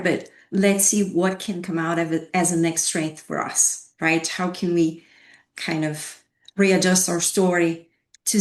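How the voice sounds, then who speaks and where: A woman's voice: lowish voice, darkish tone. Reflective woman counselor in an echoey room. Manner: reflective, rhetorical questions, frequent "right?". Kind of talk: panel discussion. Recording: roomy echoey sound.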